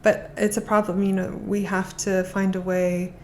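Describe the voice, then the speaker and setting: A woman's voice: mid-range voice, level tone, moderate pace, darkish tone, slight background noise. A woman speaking as a guest on a podcast, talking eagerly and often restarting her phrases. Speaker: guest, art auction specialist. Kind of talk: casual conversation.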